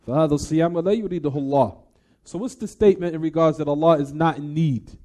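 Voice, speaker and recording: A man's voice: on the high side, very fast, mellow. A man giving a religious lecture in a didactic manner, repeating phrases and putting questions to his listeners as he explains texts.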